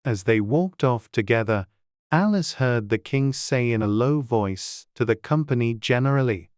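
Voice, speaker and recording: A man reading a scripted storybook narration in a measured way as an audiobook narrator. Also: medium pitch, animated, a little mellow, clear, resonant tone, no echo, studio quality.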